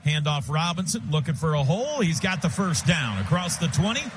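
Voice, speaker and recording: American male radio play-by-play voice, highish pitch, clipped rapid football calls over loud stadium noise. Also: sing-song. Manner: rapid clipped phrases, present-tense narration.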